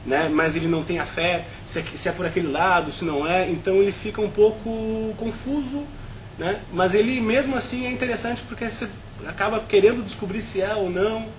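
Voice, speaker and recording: A man's voice: high-pitched, steady tone, brisk, a little hiss or hum. A Brazilian man on a film review panel, talking at length with frequent restarts and tag questions.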